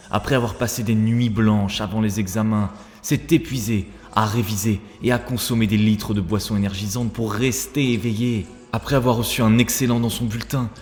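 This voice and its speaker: A man's voice: lowish voice, a little mellow, lightly acted. A man voicing an earnest, rebellious young male student who speaks in a preachy, rhetorical way in a cartoon. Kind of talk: scripted cartoon dialogue. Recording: long hall reverb, music bed.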